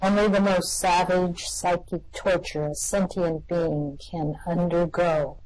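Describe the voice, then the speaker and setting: A woman's voice: on the low side, steady tone, slow pace, darkish tone, poor audio. A woman voicing an anxious, grim male alien fugitive who gives tense, ominous warnings, in an amateur radio play reading.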